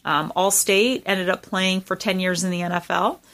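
A woman's voice: fairly low pitch, fairly even intonation, normal speed, fairly bright tone. Earnest woman in her forties. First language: Farsi. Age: 40s. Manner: earnest, emphatic, with frequent "um". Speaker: political candidate guest. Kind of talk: conversational interview.

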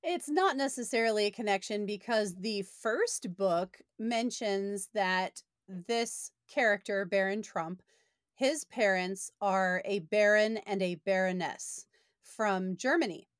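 A woman's voice: medium pitch, slow, no echo, clean studio sound. A woman in casual discussion, opinionated and sardonic, speaking as a podcast panelist reviewing books. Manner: opinionated, sardonic, uses profanity.

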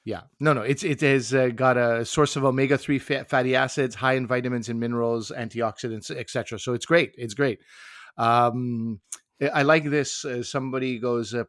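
A man's voice: mid-range voice, fairly bright tone, dry recording, clean studio sound. A man co-hosting a casual food chat, teasing and enthusiastic. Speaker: podcast co-host.

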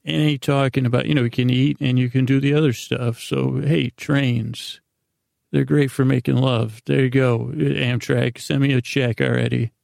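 A man hosting a bedtime podcast in a meandering, halting monologue full of tangents, with a gravelly, creaky voice. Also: medium pitch, little pitch movement, darkish tone, dry recording. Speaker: podcast host.

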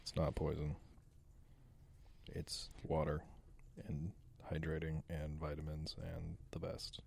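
A low-pitched man appearing as a podcast guest, trading blunt and opinionated takes in a loose, casual conversation. His voice sits very low and is quick to dismiss things. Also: monotone, vocal fry, darkish tone, husky. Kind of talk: casual chat.

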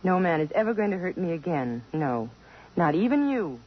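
A woman's voice: fairly low pitch, big pitch swings, moderate pace, slightly rough. An actress playing a poised, self-possessed young woman under suspicion in a 1950s radio drama, composed, direct and earnest. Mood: uneasy, firm. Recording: dull lo-fi 1950s radio recording.

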